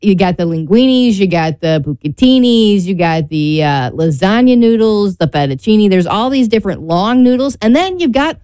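A woman's voice: mid-range voice, lively intonation, normal speed, hardly any pauses, mellow, clean tone, dry recording. A woman with an American accent co-hosting a talk show in casual, playful, informal chat.

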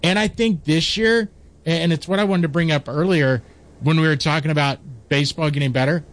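A man with a nasal voice giving opinionated takes on sports radio, talking in long, rambling sentences. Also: fairly high pitch. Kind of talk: casual sports talk.

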